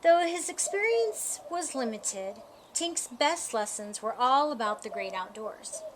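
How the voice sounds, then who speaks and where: A woman reading a children's picture book aloud as a storyteller, with an expressive, deliberate storytime delivery. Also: a little high, a little hiss or hum. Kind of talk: scripted children's story narration.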